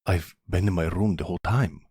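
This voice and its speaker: A man's voice: low voice, fairly quick, warm tone, breathy edge, dry recording, studio quality. A man voicing a polite, nervous young grown son in a mafia ASMR roleplay, hesitant and stammering. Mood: nervous, defensive.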